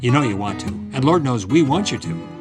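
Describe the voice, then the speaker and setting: A man's voice: medium pitch, animated, a little fast. A man delivering a scripted podcast appeal in a playfully dramatic, emphatic way. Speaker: podcast announcer. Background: plucked guitar music.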